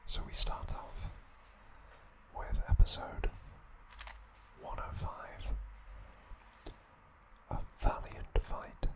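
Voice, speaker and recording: A man narrates in a soft ASMR whisper, close on the microphone. His delivery is very slow and deliberate, broken into short, careful phrases. He sounds like a hushed host guiding a listener through trivia. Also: rough recording.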